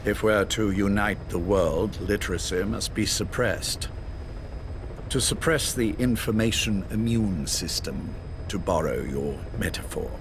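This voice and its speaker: Measured, low-voiced spymaster amid loud rumble. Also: male voice. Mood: visionary, assured. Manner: measured, formal, lecturing, self-assured. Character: cold, visionary older male spymaster called Major. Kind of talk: scripted dramatic game dialogue.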